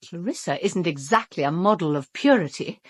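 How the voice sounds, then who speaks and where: An actress playing a sharp, scheming, outspoken British woman with a brisk sardonic manner in a radio drama. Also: fairly low pitch, expressive, dry recording. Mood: scheming, persuasive. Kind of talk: full-cast radio drama acting.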